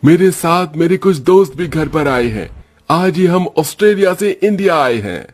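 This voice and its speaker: A man's voice: on the high side, expressive, moderate pace. A man voicing male characters in a family cartoon story, with an everyday manner. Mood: cheerful. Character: young male relative and friend, also family doctor.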